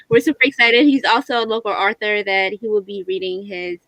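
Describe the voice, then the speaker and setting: A woman's voice: mid-range voice, moderate pace, vocal fry, a bit bright, no echo, rough recording. A woman hosting a casual livestream in an enthusiastic, appreciative way. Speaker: host.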